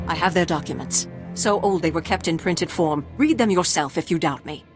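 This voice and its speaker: Actress doing proud refugee woman leader, low voice, solemn n formal, game dialogue over music. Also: normal speed, lightly acted. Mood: bitter, resolute. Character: proud, aggrieved refugee woman leader, pleading for her people. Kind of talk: dramatic scripted video game dialogue.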